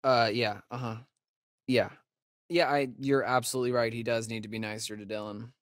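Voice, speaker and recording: An actor playing a joking, sardonic young camp counselor in a video game, with an American accent and a laid-back, slangy way of talking. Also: male voice, mid-range voice, fairly even intonation, a little fast, no room sound, studio quality, close to an everyday voice. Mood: playful, wisecracking. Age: young adult. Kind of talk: naturalistic video game acting.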